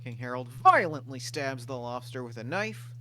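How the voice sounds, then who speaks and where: A man's voice: mid-range voice, animated, moderate pace, bright, forceful tone, a little hiss or hum. A man narrating scripted stage directions at a comedic table read.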